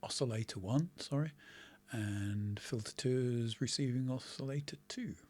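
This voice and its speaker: A middle-aged man, a bit hoarse from a cold, explaining synth settings in a relaxed tutorial, full of ums and restarts. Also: medium pitch, normal speed, a little hiss or hum. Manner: relaxed, talks while clicking, many ums and restarts. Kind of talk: casual screen-recorded software tutorial. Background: faint synth music.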